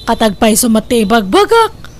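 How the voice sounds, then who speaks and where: A noisy radio drama recording carries a woman acting as a grasping middle-aged aunt with an Ilocano accent. She is high-voiced and sharp, scolding and gossiping in a nagging way. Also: sing-song, normal speed. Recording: clear background noise.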